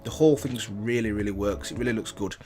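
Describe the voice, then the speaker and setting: A man's voice: fairly low pitch, fast, mellow. A man hosting a reaction video with casual, enthusiastic commentary, repeating phrases for emphasis.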